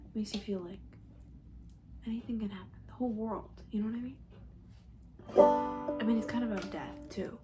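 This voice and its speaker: A woman speaking in a soft, breathy near-whisper, rambling gently and hesitantly in casual ASMR style as a comforting camp counselor. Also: mid-range voice, fairly quick, long pauses, soft background music. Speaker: ASMR roleplay creator.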